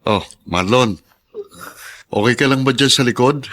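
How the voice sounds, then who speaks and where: A man's voice: medium pitch, a little slow, fairly bright tone, natural voice. A man in a radio drama playing an honest, kindly taxi driver father who speaks reassuringly. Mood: earnest, reassuring.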